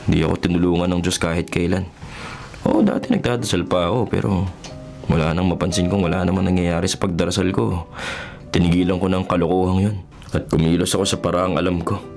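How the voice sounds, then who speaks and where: A man's voice: deep voice, fast talker, gravelly, darkish tone, soft background music. A man playing a skeptical, self-reliant journalist in his twenties in a radio drama, with a Filipino accent and a conversational, questioning way of talking. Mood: bitter, scornful. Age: 20s. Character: skeptical 23-year-old male journalist, faithless and self-reliant.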